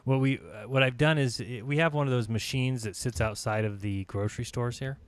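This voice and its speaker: A man's voice: medium pitch, brisk, clean tone. A man hosting a live online panel chat, upbeat and chatty, laughing often, and feeling like he is losing his voice. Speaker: host.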